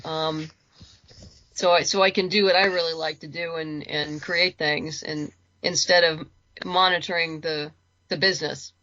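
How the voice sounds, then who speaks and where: A woman's voice: low-pitched, fairly even intonation, unhurried, sharp tone, dull audio. A woman chatting casually as a podcast guest, talking in a rambling, conversational way and repeating words for emphasis. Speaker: guest, comics and audio drama creator.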